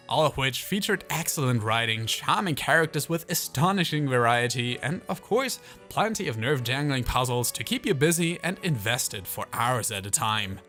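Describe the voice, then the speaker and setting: A man delivering scripted video essay narration as a channel host, with animated, theatrical asides. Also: medium pitch.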